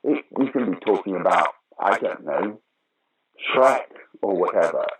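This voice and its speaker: A man's voice: low voice, animated, unhurried, breathy edge, low-quality recording. An older man, answering interview questions conversationally in a wry, opinionated, emphatic way. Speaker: interview guest, actor, writer and director of pantomime.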